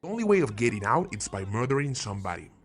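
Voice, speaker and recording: A man's voice: mid-range voice, very animated, fast. A man hosting a scripted video with game recommendations, playful and emphatic on key words, with joking asides. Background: background music.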